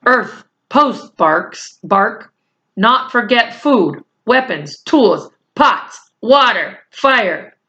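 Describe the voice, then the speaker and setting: A woman's voice: on the high side, animated, extremely slow, sharp tone, dull audio, voice pushed up, full character voice. A woman voicing a tiny, blunt, commanding storybook man who speaks in clipped, broken sentences. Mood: bossy, terse.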